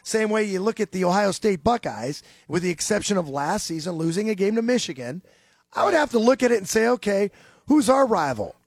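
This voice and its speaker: A man co-hosting a sports talk radio show, sounding nasal and stuffed up, talking sports calmly. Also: sits high, a little fast, husky, dry recording.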